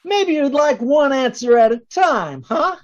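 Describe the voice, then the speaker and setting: A man's voice: extremely high pitch, very animated, a little slow, full character voice. A man playing a taunting, menacing intruder in 1940s radio detective drama style. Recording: dull, lo-fi video-call audio.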